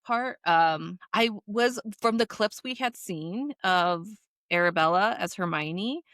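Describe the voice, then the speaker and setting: A woman co-hosting a podcast, chatting casually in an animated, chatty way. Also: lowish voice, takes it slowly, dry recording, studio quality. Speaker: podcast co-host.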